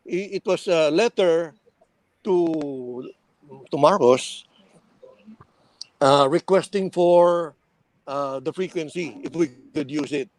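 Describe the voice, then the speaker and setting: A man's voice: a little high, takes it slowly, pauses often. An older Filipino man telling radio stories in casual conversation as a veteran DJ, switching languages and restarting as he goes. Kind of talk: casual reminiscing chat, mixing English and Tagalog.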